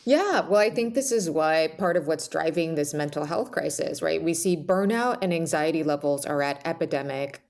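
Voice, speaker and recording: An American woman with a slightly low voice talks as an interview guest. She explains things earnestly and reflectively, often checking in with the listener as she goes. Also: lively intonation, moderate pace, creaky, hardly any pauses, darkish tone. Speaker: podcast guest, author.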